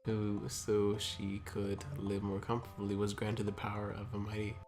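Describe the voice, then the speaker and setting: A man's voice: fairly low pitch, monotone, moderate pace, music in the background. A man casually reading aloud as a co-host, with joking commentary along the way. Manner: joking, easily amused.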